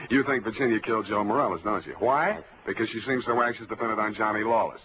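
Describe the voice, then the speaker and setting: An actor playing an unflappable, wisecracking private detective, terse and dry in a 1940s radio style. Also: male voice, fairly low pitch, fairly quick, noisy background, lightly acted. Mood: wry.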